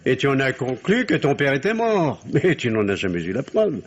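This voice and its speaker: An actor playing a bitter, sardonic middle-aged husband and fallen surgeon in an old radio drama, articulating deliberately and theatrically. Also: male voice, mid-range voice, big pitch swings, breathy edge. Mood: mocking, sardonic. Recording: dull, muffled old radio recording.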